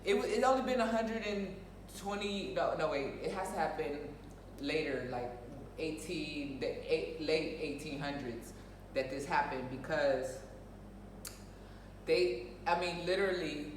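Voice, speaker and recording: A woman's voice: deep voice, measured pace. An American woman talks from a little way off in a very echoey room with faint noise behind her. She is a show host chatting casually and bluntly, driving opinions home with emphatic repetition. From United States. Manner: emphatic, outspoken, repeats phrases for emphasis. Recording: roomy echo, distant mic, faint noise.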